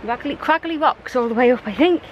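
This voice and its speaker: A woman's voice: sits high, brisk, muffled recording, slight background noise. A woman, talking upbeat to a handheld camera outdoors on a boat and beach. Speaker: travel vlogger. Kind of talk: casual travel vlog. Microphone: handheld action camera at arm's length.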